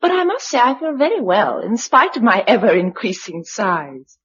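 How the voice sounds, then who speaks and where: An actress playing an affectionate, level-headed young wife after the apocalypse, in British radio drama style. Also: female voice, a little high, very animated, normal speed, a bit bright, breathy edge, heavily acted. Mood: sober, reflective. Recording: dull, lo-fi radio drama recording.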